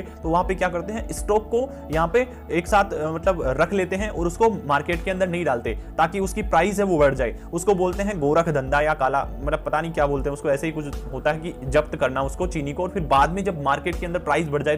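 An Indian man hosting market news, explaining things informally and repetitively while addressing listeners directly. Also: fairly high pitch. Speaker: host of a stock market news channel. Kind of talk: informal explanatory news commentary over slides.

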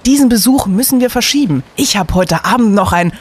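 A man's voice: high voice, expressive, fairly quick, husky. A man playing a keen young student detective in an audio drama, precise and wordy. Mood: earnest. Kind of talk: German audio drama acting.

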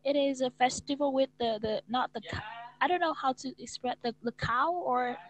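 A woman's voice: sits high, moderate pace, low-quality recording. A nineteen-year-old woman from Vietnam with a Vietnamese accent, speaking into an earphone mic in a small room as an English student, hesitant and searching for words. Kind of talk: casual video-call lesson. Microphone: wired earphone mic.

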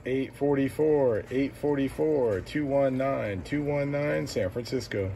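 A man makes radio calls in short, repeated phrases, spelling out numbers deliberately. His voice sits away from the mic, under loud noise and clicking from the radio gear. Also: mid-range voice, vocal fry. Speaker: CB radio operator. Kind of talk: radio calling, short repeated call signs. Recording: noisy radio shack, distant mic, clicks.

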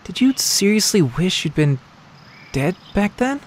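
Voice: mid-range voice, big pitch swings, measured pace, a little hiss or hum. A performer voicing a cheerful, easygoing young creature, earnest and childlike, in a casual cartoon comic dub. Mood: concerned.

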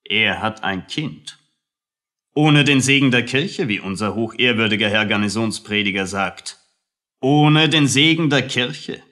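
Radio play role: fussy, condescending male captain, bright forceful voice, slow drawling delivery. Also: on the low side, lively intonation. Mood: pompous, reproachful. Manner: pompous, slow, drawling, lecturing. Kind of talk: German radio play acting.

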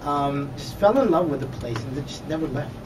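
A man's voice: on the high side, expressive, fairly quick. A man hosting a radio show, introducing a guest and joking around in playful banter. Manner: teasing, joking. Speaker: radio DJ host. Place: radio studio. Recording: distant mic, noisy room.

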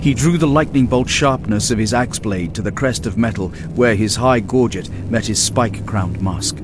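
A man's voice: on the low side, moderate pace, noisy background. A man reading dramatic fantasy narration in a grave, portentous manner as an audiobook narrator. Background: music.

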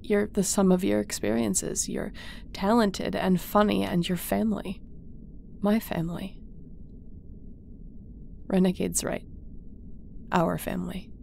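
Female space captain, heavy vocal fry, tender and loyal, sci-fi audio drama, faint noise. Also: medium pitch, flat delivery, slow, pauses often, darkish tone. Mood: tender, reassuring. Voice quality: creaky. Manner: tender, reassuring, conversational. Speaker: voice actor. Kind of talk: audio roleplay acting.

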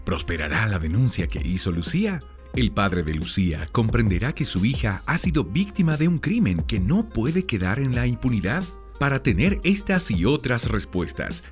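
A man's voice: on the low side, a little mellow. A man narrating a radio soap in a dramatic, scripted style.

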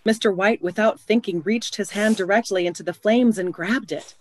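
A narrator giving scripted storytelling in a measured delivery for a radio play. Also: medium pitch, a little mellow, natural voice.

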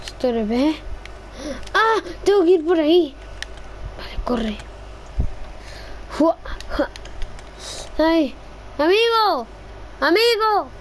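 Young woman from gaming, high excitable voice, bit of background noise. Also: drawn-out words, pauses often. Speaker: gamer. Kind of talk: casual gameplay role-play chat.

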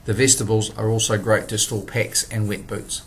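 A man, presenting a product in a measured, scripted voice-over. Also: on the low side, flat delivery, slight background noise. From New Zealand. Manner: measured, plain instructional delivery.

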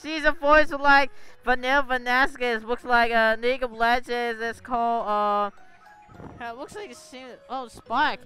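A gaming video host with a Filipino accent giving casual, excitable, repetitive commentary on a game. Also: medium pitch, a little slow, smooth, soft background music, low-quality recording. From Philippines.

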